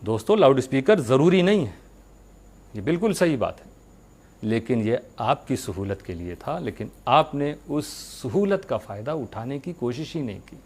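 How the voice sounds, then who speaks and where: A man's voice: medium pitch, sing-song, darkish tone. Preacher, a man exhorting earnestly. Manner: earnest, exhorting, with rhetorical questions and deliberate pauses. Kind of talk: religious sermon addressed to followers.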